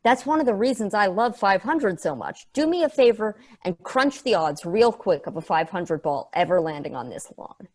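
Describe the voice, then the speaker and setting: A woman's voice: mid-range voice, lively intonation, moderate pace, hardly any pauses, close to an everyday voice. A woman playing a sardonic, passionate space probe in a table read, casual, rambling and sweary. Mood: fascinated, earnest. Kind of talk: conversational acting in a script table read.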